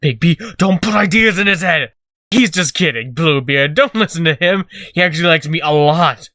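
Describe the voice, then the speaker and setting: A man's voice: a little high, expressive, moderate pace, sharp tone, a little hiss or hum. A man playing a cowardly, glib young trickster in a comic dub, whiny and pleading. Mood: nervous, glib. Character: whiny, cowardly young male rascal, fast-talking trickster. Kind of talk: dramatic comic-dub voice acting.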